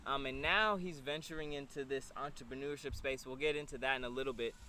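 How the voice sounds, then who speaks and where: A man's voice: medium pitch, quick pace, bright, forceful tone. A man, hosting a casual interview. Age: 20s. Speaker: interview host. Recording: clear background noise.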